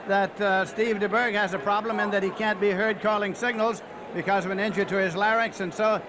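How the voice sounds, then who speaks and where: A man's voice: high voice, fairly even intonation, a little fast, noisy background. A man doing play-by-play football commentary in the style of a 1980 television broadcast. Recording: archive game broadcast, music underneath. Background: music.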